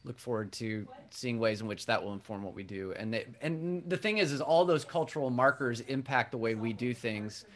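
A man's voice: mid-range voice, animated, normal speed, hardly any pauses. A man who is a pastor, reading scripture and then earnestly welcoming a guest into a conversation during a church service. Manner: earnest, heartfelt, with some restarts. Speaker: Presbyterian pastor and podcaster hosting a conversation. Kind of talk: worship-service dialogue, welcoming and introducing a guest.

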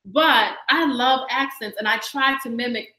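Woman, podcast co-host, casual and reflective, bright tone, echoey room. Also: on the high side, unhurried. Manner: chatty and reflective, laughs easily. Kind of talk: casual live-stream chat.

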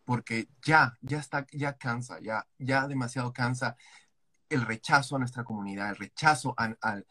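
A man's voice: mid-range voice, moderate pace, pushed and bright. A man speaking with passion against hate and stigma, repeating words for emphasis. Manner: impassioned, repetitive emphasis. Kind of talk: casual panel conversation.

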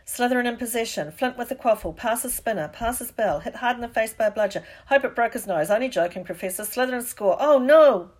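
Woman doing a cheeky schoolboy commentator, excitable, raised pitch, loud, clipped, biased, audiobook. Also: natural voice. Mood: excited. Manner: rapid-fire clipped commentary, emphatic.

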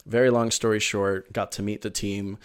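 A man's voice: on the low side, little pitch movement, moderate pace, clean tone. A man from Venezuela, in his thirties, chatting eagerly as an interview guest, rambling with lots of fillers and restarts. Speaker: podcast guest. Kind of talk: casual interview storytelling.

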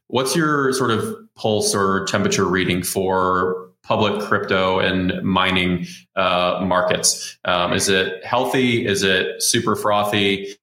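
A man moderates a panel in a casual, meandering way. His low voice stays fairly flat in melody, with an echoey room around it. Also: takes it slowly, hardly any pauses. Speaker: interview host, moderator. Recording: roomy echo.

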